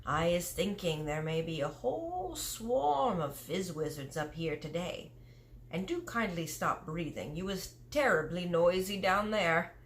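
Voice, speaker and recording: A woman's voice: fairly low pitch, expressive, measured pace, a little hiss or hum. A woman voicing a big friendly male giant who talks in muddled grammar and invented words. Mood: fussy, hushed.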